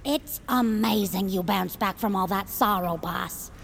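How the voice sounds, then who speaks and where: An actress playing a naive, sweet-natured villain's sidekick in an audio comedy, speaking with guileless admiration. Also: female voice, mid-range voice, a little slow, creaky, fairly bright tone, big-room sound, a little hiss or hum. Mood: admiring. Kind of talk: audio fiction comedy acting.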